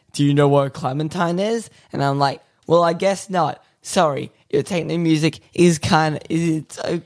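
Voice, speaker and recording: A man co-hosting a podcast, rambling through absurd improvised stories in a deadpan way with lots of fillers. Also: on the high side, vocal fry, no room sound, clean studio sound. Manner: slow, rambling, deadpan nonsense with many fillers. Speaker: podcast co-host.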